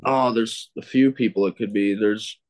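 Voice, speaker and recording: A man with a fairly low voice chats casually as a podcast guest. He fumbles and hesitates, then swears at himself with self-deprecating humor when he blanks. The recording is dry and close. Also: steady tone, moderate pace, a little mellow, no echo. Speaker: podcast guest, film critic. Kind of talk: casual chat.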